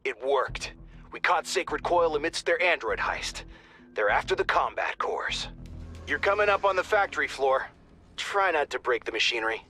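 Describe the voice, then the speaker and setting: An actor playing a brisk, wry mission controller giving informal, urgent dispatches in a game. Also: male voice, a little high, animated, pushed and bright. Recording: music under the voice.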